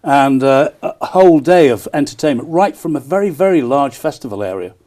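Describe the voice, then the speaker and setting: An enthusiastic man promoting an event as a broadcast interview guest, often pausing on small hesitations. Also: fairly high pitch, lively intonation, dark tone. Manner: enthusiastic, frequent hesitant ers. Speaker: town crier and festival promoter, interview guest.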